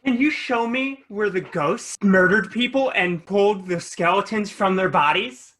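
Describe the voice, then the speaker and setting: A performer playing a self-absorbed teenage influencer at a table read of a comedy pilot, with an unhurried, vlogger-like delivery. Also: mid-range voice, fairly bright tone. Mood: showy.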